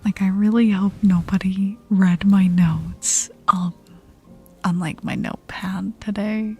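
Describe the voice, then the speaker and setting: A woman streaming ASMR, chatting casually in a soft, breathy, often whispered voice with a relaxed, intimate manner, close on the mic. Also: medium pitch. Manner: relaxed, slow, intimate murmur. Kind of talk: ASMR, casual chat with live viewers. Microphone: close ASMR microphone. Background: music.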